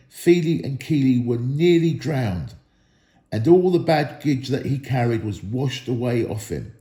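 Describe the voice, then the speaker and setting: A man reading a storybook aloud as an expressive narrator. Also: mid-range voice, roomy sound. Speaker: storybook reader.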